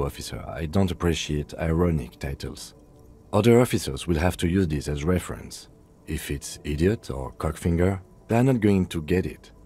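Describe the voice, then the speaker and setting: A man playing an earnest, reserved police lieutenant in a video game, with measured, polite, deadpan delivery. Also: deep voice. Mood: dry disapproval. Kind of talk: video game dialogue acting.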